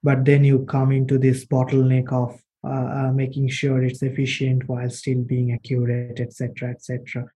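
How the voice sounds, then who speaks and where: A man's voice: medium pitch, monotone, moderate pace, hardly any pauses, warm tone. A man hosting an interview, asking long, winding questions full of fillers. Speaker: interviewer, podcast host.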